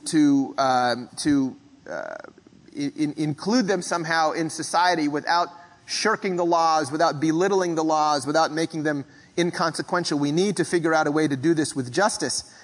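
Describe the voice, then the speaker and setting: A man from the Philippines preaching a sermon in a measured, reflective way, often clearing his throat. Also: on the high side, in a large hall. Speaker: pastor.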